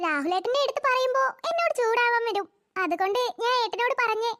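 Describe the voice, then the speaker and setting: A performer voicing a teasing young woman in a family cartoon, with a childlike, pitched-up sound. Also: animated, quick pace, pushed and bright, exaggerated voice. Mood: earnest. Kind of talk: Malayalam family cartoon dialogue.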